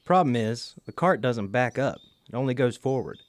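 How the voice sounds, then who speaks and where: An actor playing a dry-humoured, cautious bookkeeper in a radio drama, with a West Virginia accent. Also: male voice, mid-range voice, lively intonation, normal speed, dry recording, lightly acted. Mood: uneasy. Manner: folksy, plainspoken. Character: dry-humoured, cautious mine company bookkeeper, homespun family man.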